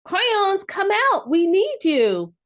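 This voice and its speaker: A woman's voice: extremely high pitch, wildly swooping pitch, takes it slowly, a bit bright, pitched above the performer's own voice, heavily acted. A woman voicing an earnest, apologetic schoolboy pleading in a children's storybook.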